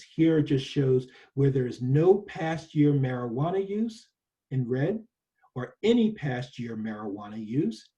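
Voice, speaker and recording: A man's voice: a little high, warm tone, rough recording. A man giving a prevention talk with slides, earnest and emphatic, checking in with "right?" and restarting phrases. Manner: presents data, restarts phrases. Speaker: prevention trainer presenting data. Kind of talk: presentation with slides.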